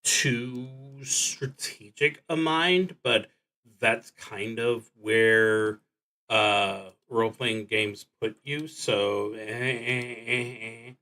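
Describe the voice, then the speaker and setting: A man with a bright, sharp-edged voice and a very slow pace. He talks casually as a solo roleplaying streamer, explaining game rules while thinking aloud, haltingly, with phrases that tend to trail off. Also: mid-range voice.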